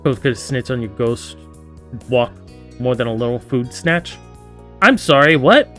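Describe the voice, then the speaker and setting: The guy on a game stream reading a story game out loud in a middling voice, playfully chiming in over the soundtrack. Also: medium pitch, normal speed, soft background music. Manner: playful, acts out characters' lines. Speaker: streamer playing a visual novel. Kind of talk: reading game text aloud with casual asides.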